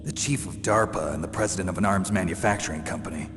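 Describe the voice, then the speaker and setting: An actor playing a stoic, skeptical special-forces infiltrator in a video game, with terse, questioning, deadpan delivery and a gravelly voice. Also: male voice, deep voice, quick pace, natural voice. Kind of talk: dramatic video game dialogue. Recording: long reverb, clear background noise.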